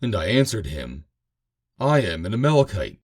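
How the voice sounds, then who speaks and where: A man's voice: on the low side, big pitch swings, normal speed. A man voicing an earnest young Amalekite messenger, declaiming his report in a scripture reading.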